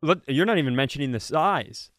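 A man with an American accent and a somewhat high voice tells stories on a comedy podcast. He rants with comic exasperation and acts out what people say. The sound is dry, with no room echo. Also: lively intonation, brisk, fairly bright tone. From Minnesota, United States. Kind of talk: casual comedic storytelling.

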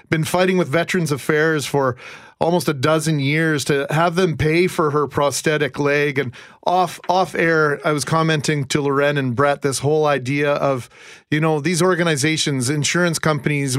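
A man's voice: a little high, a bit bright, no echo, clean studio sound. A Canadian man hosting a radio interview, laying out long, reflective questions with emphasis. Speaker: radio host.

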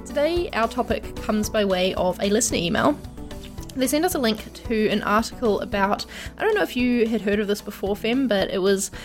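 A woman's voice: mid-range voice, animated, fairly quick, soft background music. Enthusiastic woman podcast co-host. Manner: friendly, enthusiastic, explains step by step. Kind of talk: casual podcast chat.

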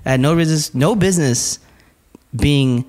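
A man's voice: on the high side, normal speed, a little mellow. A young man with a slight Mexican accent, co-hosting a casual podcast and ranting bluntly, swearing freely. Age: young adult. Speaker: podcast co-host.

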